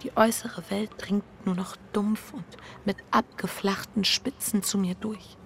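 Hushed, creaky-voiced anxious wife. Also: female voice, medium pitch, fairly even intonation, whispered. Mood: dazed, numb. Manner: introspective, hushed inner monologue with halting dialogue. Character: anxious, guilt-ridden adulterous wife, dazed and restless. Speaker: lead actor and first-person narrator. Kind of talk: intimate literary radio drama narration.

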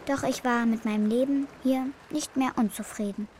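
An actress playing an earnest, brave young granddaughter in the steppe, in a German children's radio drama. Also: female voice, fairly high pitch, steady tone, normal speed, a little mellow, slight background noise, natural voice. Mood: reading, reflective.

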